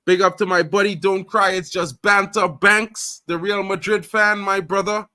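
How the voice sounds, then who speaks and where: High, bright, excitable man hosting. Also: normal speed, no echo, clean studio sound. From Trinidad. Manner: loud, excitable, repeats catchphrases. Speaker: football stream host. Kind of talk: casual live sports chat.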